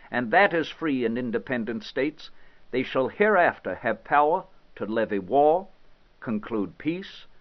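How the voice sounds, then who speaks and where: An actor playing a solemn, indignant eighteenth-century American statesman, reading a political document in a formal, measured, oratorical manner with weighty pauses. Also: medium pitch, close to an everyday voice. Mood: firm, declaratory. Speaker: historical interpreter. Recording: slightly dull, band-limited audio.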